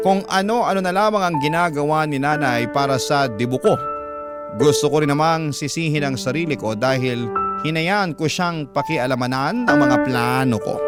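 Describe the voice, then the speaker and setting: A man with a Filipino accent narrating a scripted first-person story as a host, in an emotive, deliberate radio-drama style. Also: a little high. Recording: loud music bed under voice. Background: loud instrumental music.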